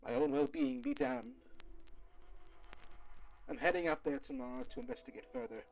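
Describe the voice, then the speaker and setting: A man's voice: on the high side, big pitch swings, moderate pace, gravelly, a little mellow, full character voice, low-quality recording. A man playing a wry, jaded investigator dictating formal field logs in a scripted audio drama. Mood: intrigued, determined. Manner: sardonic, formal, articulate.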